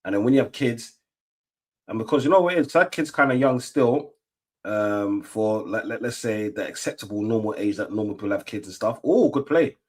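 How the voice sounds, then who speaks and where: Slangy man, lowish voice. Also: expressive, a little fast, darkish tone. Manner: slangy, rambling with many fillers and restarts. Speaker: stream host. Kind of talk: casual watch-along chat.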